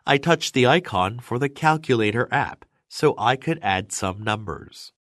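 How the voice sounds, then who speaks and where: A man reading scripted narration for English learners with careful, measured enunciation. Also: mid-range voice, animated, fairly bright tone, no echo, studio quality.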